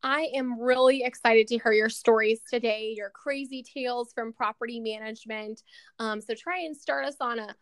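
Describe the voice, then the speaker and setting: A woman's voice: fairly high pitch, steady tone, moderate pace, smooth, no room sound. A woman hosting a podcast, upbeat and eager as she welcomes her guest. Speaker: podcast host.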